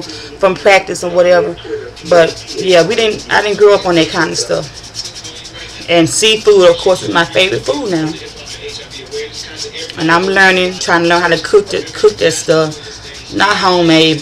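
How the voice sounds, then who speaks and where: A middle-aged woman from northern Louisiana with a country accent, rambling in a chatty vlog monologue and often restarting her sentences. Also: on the low side. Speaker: vlogger.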